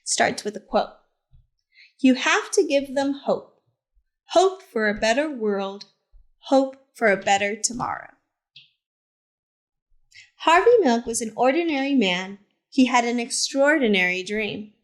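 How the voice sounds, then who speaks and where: Slow, higher-pitched woman reading aloud. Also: lively intonation. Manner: simple, deliberate phrasing addressed to young listeners. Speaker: teacher librarian reading aloud to children. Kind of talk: picture-book read-aloud with short asides.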